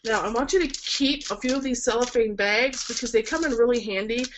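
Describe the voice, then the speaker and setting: A woman's voice: fairly high pitch, fairly quick, a little hiss or hum, poor audio. A woman florist with a cold and a nasal, stuffed-up voice, chatty and encouraging as she demonstrates a project in a webinar, with frequent ums. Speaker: florist instructor. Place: work table indoors. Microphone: camera mic.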